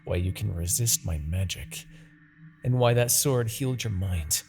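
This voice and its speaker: Man acting a haughty, gravelly demon king. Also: deep voice, expressive, darkish tone, exaggerated voice. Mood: amused, realizing. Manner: theatrical, taunting, drawn-out dramatic delivery. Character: haughty male demon king, seductive, menacing, obsessive villain. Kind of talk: scripted fantasy ASMR roleplay acting.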